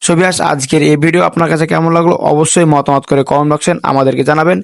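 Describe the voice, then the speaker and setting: Fast-talking man, higher-pitched voice. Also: fairly even intonation, gravelly, no room sound, clean studio sound. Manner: repetitive set phrases, peppered with English words. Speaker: tech tutorial host. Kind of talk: instructional voice-over addressing viewers.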